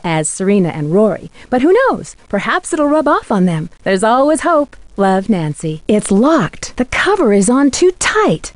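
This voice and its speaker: A woman playing a curious, polite young female detective in a video game, with earnest, clearly enunciated scripted delivery. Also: mid-range voice, a little mellow, no echo. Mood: curious, businesslike. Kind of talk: scripted game voice lines and letter narration.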